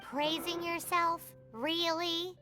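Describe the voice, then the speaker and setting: A woman's voice: very high-pitched, level tone, slow, over music. A woman voicing a tiny, cheeky, childlike fairy companion girl in an anime game, with an exclamatory way of talking. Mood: teasing. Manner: speaks of herself in third person, exclamatory.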